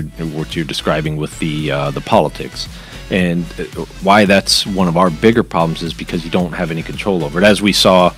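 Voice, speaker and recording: A middle-aged man who works in a jail, guesting in a podcast studio and explaining things plainly. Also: sits low. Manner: plain, matter-of-fact explaining. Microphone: boom mic on arm.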